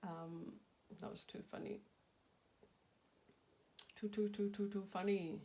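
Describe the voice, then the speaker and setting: A woman's voice: medium pitch, fairly even intonation, slow, dark tone. A middle-aged woman talks to her viewers in a loose, rambling way, with a slightly nasal voice. She laughs easily and greets people by name as she hosts a craft stream. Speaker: craft livestream host. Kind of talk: casual live-stream chat.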